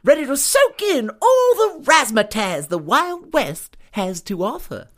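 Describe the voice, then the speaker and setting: Naive New York gentleman, very high-pitched, hugely animated, flowery, formal, exclamatory, comic western audio drama. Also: unhurried, heavily acted. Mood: eager, proud.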